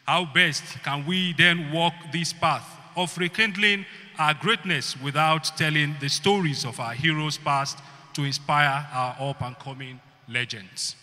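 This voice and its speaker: A man with a Nigerian accent giving a prepared, grandiloquent formal address as a producer, in deliberate phrases. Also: on the high side, sharp tone. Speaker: executive producer giving a speech. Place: large banquet hall. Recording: reverberant hall PA sound.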